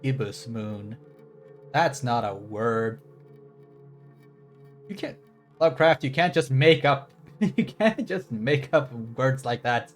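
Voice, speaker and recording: A man's voice: mid-range voice, lively intonation, takes it slowly, pauses often, soft background music. A man giving casual, rambling game commentary as a livestreamer, with a playful, wry manner.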